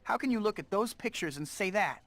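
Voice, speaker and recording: High-voiced quippy young superhero. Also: male voice, lively intonation, a little fast, fairly bright tone, a little hiss or hum. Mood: earnest. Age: young adult. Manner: quippy, sarcastic. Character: wisecracking, frustrated young male superhero in costume. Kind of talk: video game voice acting. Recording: music behind the voice.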